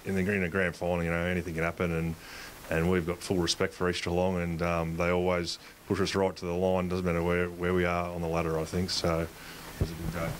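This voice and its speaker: A man talks as a local football coach in a post-match interview. His voice sits low and runs flat, while his speech comes quickly and stumbles through fillers and restarts. A faint hiss sits under the recording. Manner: halting, many fillers and restarts.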